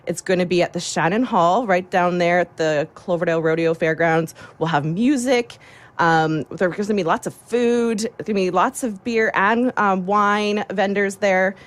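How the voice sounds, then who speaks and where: Female radio guest, upbeat and casual, slightly low pitch, promoting community work. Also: normal speed. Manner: upbeat, enthusiastic. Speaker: guest, chamber of commerce volunteer. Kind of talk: casual radio interview chat.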